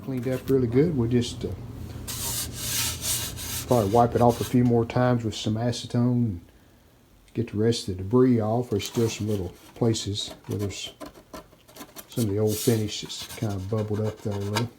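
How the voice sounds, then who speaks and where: A man giving casual, plainspoken, unhurried narration of hands-on workshop work, like a DIY video host. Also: mid-range voice, flat delivery, dark tone, slight background noise. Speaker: host of a restoration channel.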